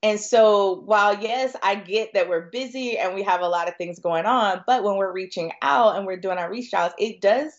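A woman's voice: medium pitch, normal speed, few pauses, dull audio. An American woman answering conversationally as an expert guest on a podcast, chatty and inclined to act out short quotes. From Florida, United States.

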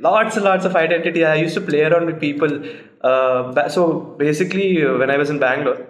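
A man's voice: fairly high pitch, very fast, echoey room. A young man from South India chatting as a podcast guest, talking freely with laughs and slipping into Telugu. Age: young adult. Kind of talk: casual chat.

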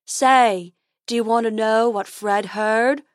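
A silly storybook unicorn, voiced by a woman, male, stubborn and simple. He talks in a slow, dopey, gossipy way, close to the mic with little room sound. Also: a little high, fairly even intonation, no room sound. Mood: dopey, chatty. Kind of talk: storybook character voice.

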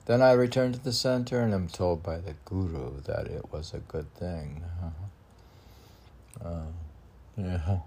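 Very deep, creaky-voiced man reading poems. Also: warm tone, slight background noise. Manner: musing, halting, talks back to own lines. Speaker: poet reading own poems. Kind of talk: poetry reading with casual, rambling commentary.

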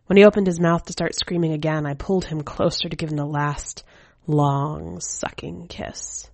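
A woman narrating scripted fiction as a story reader, with a measured, hushed delivery. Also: sits low, no room sound. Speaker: podcast editor and story reader.

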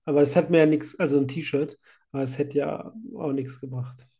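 A man with a cold chats casually into a podcast microphone. His voice sits a little high but sounds warm and mellow, and he tends to ramble and laugh along the way. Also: steady tone, brisk, breathy edge. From Germany. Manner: chatty, laughs often, rambling. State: has a cold. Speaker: podcast host, site manager.

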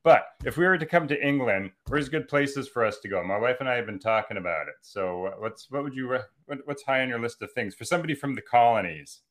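A man's voice: medium pitch, lively intonation, fast, few pauses, a bit bright. A man in his forties from western Canada, talking casually as a podcast guest, rambling and correcting himself with jokey asides. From Calgary, Alberta, Canada. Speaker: guest, professor and writer. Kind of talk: casual conversational interview.

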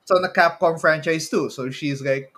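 Higher-voiced Filipino man, casual podcast host. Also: normal speed, a bit bright. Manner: chuckles often while talking. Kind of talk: casual chat about films and games.

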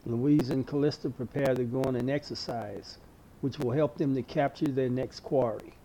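Mellow male audio drama narrator. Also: medium pitch, steady tone, normal speed, low-quality recording.

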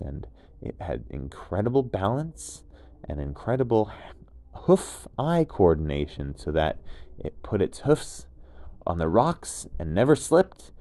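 A man telling a children's bedtime story in a gentle storytelling style, repeating words for emphasis. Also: low voice, no room sound.

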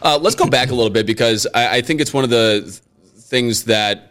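A man's voice: mid-range voice, normal speed, a bit bright. A male host interviewing a guest, casual and encouraging, with lots of short affirmations.

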